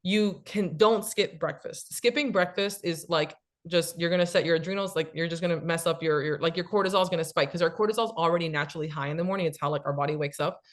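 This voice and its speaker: A woman in her late thirties, a cookbook author, talking casually and explaining things quickly with many fillers. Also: fairly low pitch. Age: 30s. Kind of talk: casual podcast chat.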